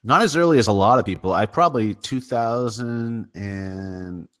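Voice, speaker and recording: Male show host, lower side, bright forceful tone, chatty, laughs easily. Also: moderate pace. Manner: chatty, laughs often, stammers at times. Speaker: podcast host. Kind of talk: casual livestream chat.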